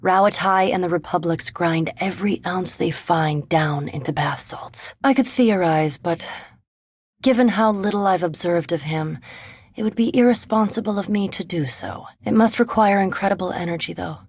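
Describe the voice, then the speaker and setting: A woman voicing a centuries-old vampire scholar in a video game, speaking in an erudite, measured, formal way with composed curiosity and dry superiority. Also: on the low side, a little mellow, slightly rough, no room sound. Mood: dry, cool, then quiet wonder. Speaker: animancy researcher and ship companion in a fantasy video game. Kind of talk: video game character dialogue.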